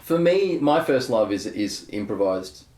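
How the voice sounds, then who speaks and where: A young adult man, a bass player talking candidly in a casual interview, rambling with many fillers and restarts. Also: very animated, measured pace, darkish tone. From Australia. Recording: echoey room.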